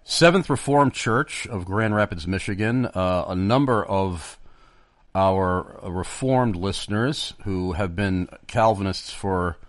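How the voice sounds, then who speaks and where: Man, talk radio host, studio, chatty with fillers, lowish voice, dry sound. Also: takes it slowly, no echo. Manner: loud greeting, then chatty with many fillers. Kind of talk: live radio interview.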